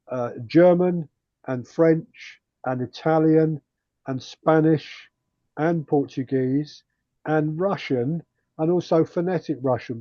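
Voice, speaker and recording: A man hosting an online radio club meeting, speaking haltingly with many fillers. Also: fairly high pitch, no echo, muffled recording. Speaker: club host.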